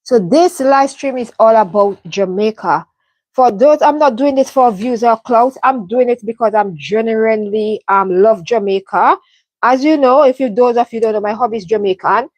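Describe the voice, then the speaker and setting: A woman's voice: on the high side, moderate pace, darkish tone, dry recording. A woman from the Caribbean hosting a live stream, talking in an earnest, heartfelt way with many ums and restarts. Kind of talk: casual live stream update. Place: home in London.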